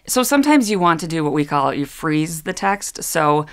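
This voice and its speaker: A woman's voice: deep voice, sing-song, normal speed, clean tone. A middle-aged woman, a sign language interpreter, talking earnestly in an interview, often restarting her sentences and laughing easily. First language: English. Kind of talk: interview talk.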